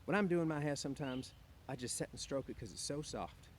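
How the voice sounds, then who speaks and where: A man's voice: medium pitch, brisk, a little hiss or hum, voice pushed up, natural voice. A man voicing a young, dreamy, discontented small-town wife with rambling, slangy speech in an audiobook. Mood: complacent, teasing. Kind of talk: audiobook character voice.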